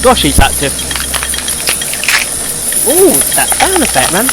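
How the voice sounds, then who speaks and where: Slow, animated man reacting over noise. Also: high-pitched. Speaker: reaction video host. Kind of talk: casual off-the-cuff reaction commentary.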